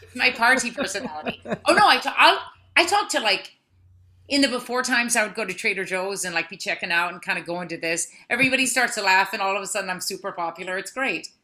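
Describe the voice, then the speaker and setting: A woman voicing a chatty put-on Irish persona, playful and lively, over a video call. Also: medium pitch, quick pace. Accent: Irish (put on, untrained).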